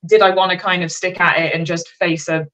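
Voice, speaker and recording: A woman's voice: on the low side, flat delivery, fairly quick, a bit bright, rough recording. A woman in her thirties with a Welsh accent, a fashion designer chatting candidly and casually as a guest on a livestream, poking fun at herself and letting the odd swear slip. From Wales. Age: 30s. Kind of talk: casual livestream interview chat.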